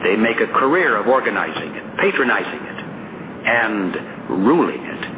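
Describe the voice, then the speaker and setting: A man reads a political essay aloud as an audiobook narrator, in a deliberate, emphatic, oratorical manner. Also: on the low side, very animated. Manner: deliberate, emphatic oratorical reading with rhetorical questions and lists. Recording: clearly noisy audiobook recording.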